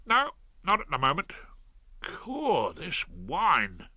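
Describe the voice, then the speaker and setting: A man's voice: on the high side, wildly swooping pitch, slow, sharp tone, slightly rough, dry recording, voice pushed up, heavily acted. A man doing a chatty South London crook. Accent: South London working-class. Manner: chatty, cheerful, ungrammatical. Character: cheerful old petty-criminal grandfather. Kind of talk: audiobook character voice.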